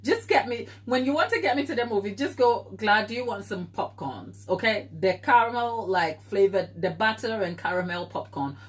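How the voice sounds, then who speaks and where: A woman's voice: medium pitch, expressive, normal speed, few pauses. Ghanaian woman, lightly English-tinged accent, medium voice, easygoing livestream baker who chats and drifts onto tangents. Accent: Ghanaian with English influence. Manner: chatty, tangent-prone, reads and answers viewer comments. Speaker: baking livestream host. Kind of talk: casual live-stream chat while baking.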